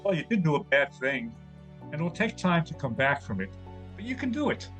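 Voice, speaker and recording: A man playing an aging, weary, gentle mouse who speaks as a calm, fatherly mentor in an audio drama. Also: on the high side, fairly quick, faint music behind. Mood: encouraging.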